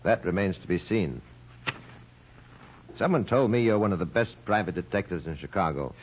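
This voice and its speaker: An actor playing a curt, suspicious, hard-nosed American bookie in an old radio crime drama, terse and demanding. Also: male voice, sits low, animated, normal speed. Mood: guarded, businesslike. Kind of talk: 1940s-50s radio crime drama acting. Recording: muffled old-time radio recording.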